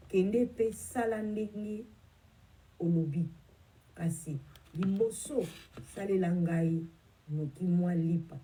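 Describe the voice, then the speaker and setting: Slow, dark-voiced woman reading scripture. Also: lowish voice, low-quality recording. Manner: measured, careful reading with small self-corrections. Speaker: scripture reader. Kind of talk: slow Bible reading aloud.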